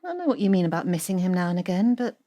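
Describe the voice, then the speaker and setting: A dry, ambitious young student. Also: female voice, fairly low pitch, very animated, racing, mellow. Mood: cool, guarded. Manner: dry, matter-of-fact. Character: pragmatic, ambitious female student, dryly unsentimental. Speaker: actor. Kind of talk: audio drama acting.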